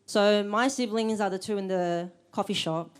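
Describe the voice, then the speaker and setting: A woman's voice: mid-range voice, moderate pace, smooth. A young woman hosting a live event, chatty and a bit nervous, with lots of ums. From Australia. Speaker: event host. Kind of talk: live event welcome speech. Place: event room with audience.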